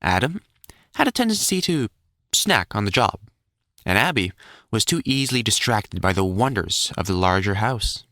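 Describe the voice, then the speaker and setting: Male narrator for illustrated story reading; lively, swinging intonation, measured delivery, dry recording. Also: on the low side, no echo.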